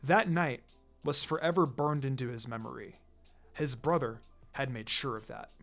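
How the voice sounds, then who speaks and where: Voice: mid-range voice, normal speed, a bit bright. A narrator reading a scripted fan fiction story aloud. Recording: music behind the voice.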